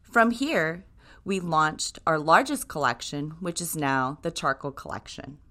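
A woman in her thirties from Japan hosts a podcast in a scripted solo talk. Her voice sits low, but her pitch moves widely, rising and falling with upbeat enthusiasm. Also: fairly bright tone. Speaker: podcast host, brand co-creator.

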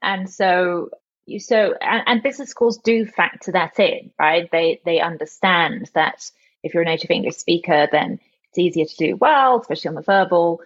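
A woman's voice: fairly low pitch, moderate pace, breathy edge. A woman on a panel discussion, speaking thoughtfully with some restarts. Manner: thoughtful, with restarts and light laughs. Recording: dull lo-fi audio.

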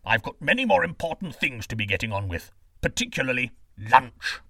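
A man voicing a pompous, dismissive king who speaks loudly and haughtily. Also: medium pitch, sing-song, a little fast, vocal fry, no echo, clean studio sound, recorded from a distance, full character voice.

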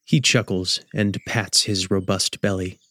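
A man hosting and narrating a radio drama as a measured, scripted storyteller. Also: low voice, steady tone, sharp tone, no room sound. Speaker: host and narrator.

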